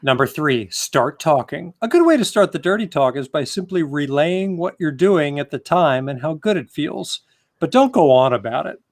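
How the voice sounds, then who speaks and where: A man on a comedy podcast reading aloud in a mock-serious, deadpan way with theatrical emphasis. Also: on the high side, moderate pace. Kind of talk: mock-serious reading aloud amid joking group chat. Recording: noticeable background noise with clicks.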